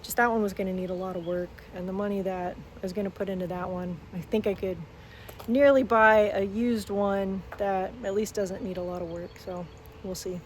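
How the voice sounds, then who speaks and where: A woman's voice: medium pitch, steady tone, normal speed. A young woman with a drawl talks through her farm chores in a plain, chatty way, full of little fillers. Her voice is slightly worn from a morning of talking. She records outdoors on a handheld phone, with a faint wash of outdoor noise behind her. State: voice strained from talking. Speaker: farm vlogger. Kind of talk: casual farm diary vlog. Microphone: phone held while walking.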